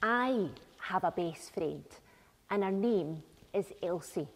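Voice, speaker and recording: Woman, thirties, conversational sermon, slow phrases with pauses, reflective, church reverb. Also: on the low side, animated. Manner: reflective, pausing between phrases. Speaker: minister leading the service. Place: large church interior, at the lectern.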